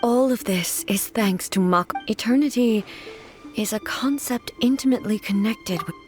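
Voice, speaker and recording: A composed, stern ruler, played by a woman, speaks in an even middle voice, restrained and grave, weighing each word. Game music plays clearly beneath her. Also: on the high side, normal speed, natural voice. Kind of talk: video game voice acting.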